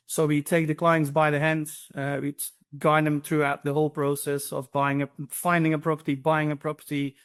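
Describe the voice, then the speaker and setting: A middle-aged man from Belgium with a Flemish accent, answering interview questions casually with lots of ums and restarts. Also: on the high side. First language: Dutch. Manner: hesitant, many ums and restarts. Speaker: real estate agency CEO.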